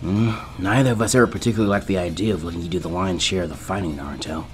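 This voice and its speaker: A man's voice: low voice, racing, voice pushed down, lightly acted. A man voicing a laid-back, thoughtful teenage ninja boy in a subdued, matter-of-fact way. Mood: calm, serious.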